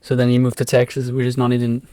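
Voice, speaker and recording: A man's voice: mid-range voice, monotone, fast, warm tone, dry recording. A young man from England whose first language is Afrikaans, speaking as a podcast guest in a rambling way with many hesitations. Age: young adult. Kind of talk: casual podcast interview.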